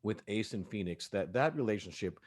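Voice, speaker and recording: A man speaking as an audio drama director in a casual cast discussion, telling things in an animated way. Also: on the low side, a little fast.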